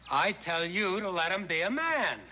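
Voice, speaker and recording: A stubborn, embittered frontier father who was once a sea captain. His high male voice is very sharp and swings widely in pitch as he argues in classic TV western style. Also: sing-song, normal speed, slight background noise, full character voice. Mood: grumbling. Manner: forceful, argumentative. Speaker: actor in a TV western series. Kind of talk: 1960s TV western drama acting.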